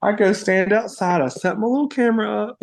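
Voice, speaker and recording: Bubbly, gravelly-voiced playful man. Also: high-pitched, normal speed. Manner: upbeat and playful, many "like" fillers, laughs often; theatrical wizard persona, grand mock-formal phrasing. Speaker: podcast guest. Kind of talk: enthusiastic casual chat.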